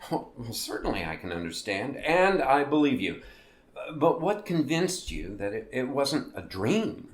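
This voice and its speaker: A man's voice: mid-range voice, sing-song, unhurried. A man playing a curious, sympathetic host of a strange-story show in an audio drama, often repeating his words. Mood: curious, puzzled. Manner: hesitant, repeats words, encouraging. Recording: roomy, echoey voice recording.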